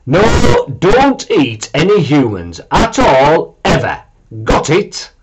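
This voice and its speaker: A man's voice: fairly high pitch, huge pitch swings, slow pace, noisy background, voice pushed up, full character voice. A man voicing a patient but firm mother dragon in a children's storybook, sounding motherly and a little exasperated. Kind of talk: children's storybook character reading.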